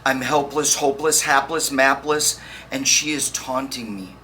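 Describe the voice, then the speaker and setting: Slow, bright-voiced man reading poetry. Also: mid-range voice, steady tone. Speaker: poetry reader. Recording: faint background noise.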